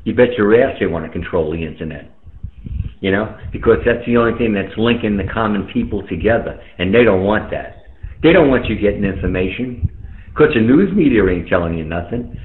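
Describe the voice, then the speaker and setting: A man's voice: fairly low pitch, lively intonation, brisk. A man giving a casual, opinionated monologue over the radio, colloquial and emphatic, often repeating himself. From United States. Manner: colloquial, emphatic, repetitive, mildly profane. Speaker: amateur radio operator chatting with friends. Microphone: radio microphone over internet link. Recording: tinny phone-like radio link, roomy echo.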